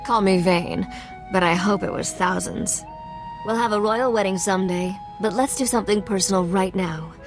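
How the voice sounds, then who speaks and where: A woman's voice: medium pitch, moderate pace, over music, close to an everyday voice. An actress playing a sly, sarcastic young woman smuggler and royal heir in a video game, wry, teasing and self-assured. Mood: teasing, affectionate. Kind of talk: scripted video game dialogue.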